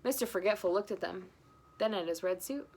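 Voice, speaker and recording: A woman narrating a children's picture book aloud in an animated, expressive read-aloud style. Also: mid-range voice, brisk, husky. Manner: animated, with interjected asides to listeners. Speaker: storybook reader.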